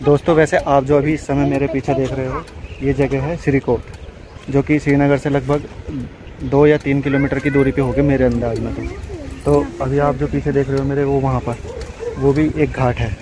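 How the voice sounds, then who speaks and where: A man's voice: medium pitch, level tone, normal speed, crowd noise. A man in his thirties vlogging casually to his viewers, joking and chatty, filmed outdoors by a river on a phone at arm's length. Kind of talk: casual travel vlog to camera. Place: outdoors by a river and on a bridge in hills. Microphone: phone held at arm's length. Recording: outdoor noise from passing traffic.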